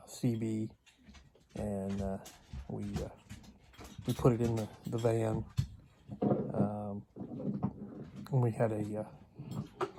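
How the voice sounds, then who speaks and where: A man talking casually and unscripted for a vlog, in a halting, hesitant way with long pauses. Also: fairly low pitch, noisy background. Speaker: vlogger.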